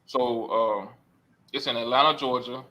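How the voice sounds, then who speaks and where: Earnest rambling man, bright tone. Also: mid-range voice, steady tone, a little slow, muffled recording. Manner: rambling, self-correcting, earnest. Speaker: podcast guest. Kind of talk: casual podcast chat.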